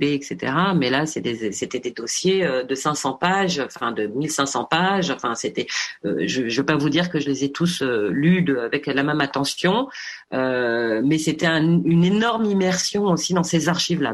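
A woman's voice: rumbling low, breathy edge. Woman filmmaker in interview, hesitant, restarts, fillers. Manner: halting, many fillers and restarts. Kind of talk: radio interview answer.

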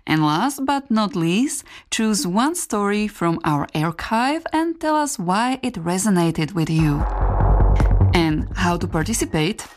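A woman reading voice-over translations and a station announcement in a measured, earnest way. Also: medium pitch, lively intonation. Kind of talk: scripted voice-over and station promo.

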